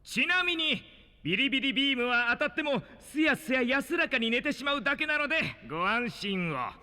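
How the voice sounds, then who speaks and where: An actor playing a chirpy, ceremonious castle official, speaking in polite, theatrical announcer patter with long reverb. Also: male voice, extremely high pitch, sing-song, brisk, pushed and bright, heavily acted. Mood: cheerfully ceremonious. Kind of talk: anime-style fantasy audio drama acting.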